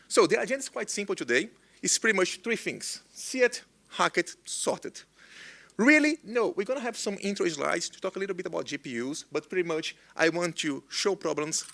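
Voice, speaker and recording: A young man with a Brazilian Portuguese accent presents at a tech conference. His voice sits slightly high and sounds bright and forceful. His delivery is eager, and he restarts phrases as he goes. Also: creaky. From northeast Brazil. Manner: enthusiastic, loose phrasing with frequent restarts. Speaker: conference speaker. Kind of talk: technical conference talk.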